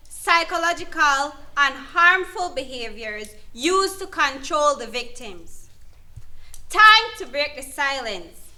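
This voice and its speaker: Lady playing supportive rights advocate, really bright voice, slow and deliberate, urging. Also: high-pitched, exaggerated voice. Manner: deliberate, exhorting. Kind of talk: scripted educational dramatization.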